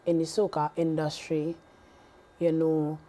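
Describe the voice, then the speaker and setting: Trinidad lady musician, mellow voice, chill interview chat, repeats herself a lot. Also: low-pitched, a little slow. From Trinidad and Tobago. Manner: relaxed, repeats phrases, Caribbean grammar. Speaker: music artist guest. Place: TV studio.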